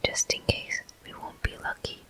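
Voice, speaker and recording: A person whispering gameplay commentary for an ASMR video, breathy and gentle, in short, unhurried phrases. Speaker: host of a gaming ASMR video.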